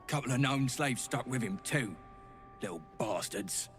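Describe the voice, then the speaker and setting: A man's voice: medium pitch, lively intonation, a little slow, a bit bright, faint music behind. A man playing a callous dwarf slave-keeper in a fantasy game, dry and scornful.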